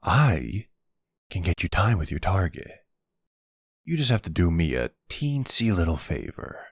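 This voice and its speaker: A man's voice: sing-song, a little slow, dry recording, full character voice. A man playing a smug, flirty, teasing vampire in an intimate ASMR roleplay, with a playful, taunting drawl close to the microphone. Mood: coaxing, flirty. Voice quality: deep, gravelly, husky. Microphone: close studio-style microphone, dry.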